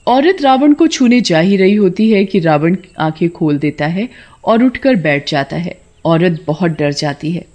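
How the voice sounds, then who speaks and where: A woman's voice: on the low side, animated, unhurried, darkish tone. A woman narrating a scripted story for a cartoon.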